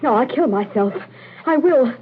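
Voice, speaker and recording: A woman's voice: sits high, animated, moderate pace, slight background noise. An actress playing a fretful, plaintive woman of a genteel household in a 1940s radio thriller. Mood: distraught. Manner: plaintive, overwrought. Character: genteel British family women, fretful and hysterical. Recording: tinny old 1940s radio broadcast.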